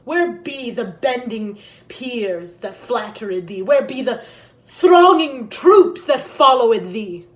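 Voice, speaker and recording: A woman's voice: a little high, lively intonation, slow. A woman playing a grieving, vengeful deposed queen in a Shakespearean stage monologue, declaiming solemnly and bitterly. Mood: scornful. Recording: echoey room.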